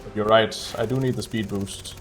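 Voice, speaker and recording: A man's voice: lowish voice, fairly even intonation, normal speed. A man giving casual, chatty livestream commentary while speedrunning a game, swearing now and then. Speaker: speedrunner streaming gameplay.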